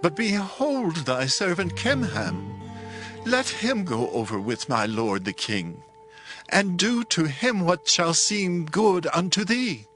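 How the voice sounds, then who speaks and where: A man's voice: sits high, very animated, slow, fairly bright tone, faint music behind, exaggerated voice. An actor playing a humble, weary man of eighty in a dramatized Bible audiobook, pleading in archaic scriptural phrasing. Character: humble, weary eighty-year-old man declining royal reward.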